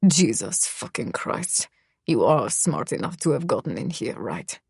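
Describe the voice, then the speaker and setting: A cold, smug young man, voiced by a woman narrator who growls his words low and quiet, dropping well below her own voice. He speaks slowly and with menace, in a dry, close recording. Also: sing-song, creaky, breathy edge, no echo, exaggerated voice. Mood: menacing.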